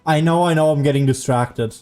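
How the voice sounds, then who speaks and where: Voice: mid-range voice, a little fast, mellow. A streamer giving casual, playful live commentary while playing a video game, reacting to what happens. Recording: faint game music behind.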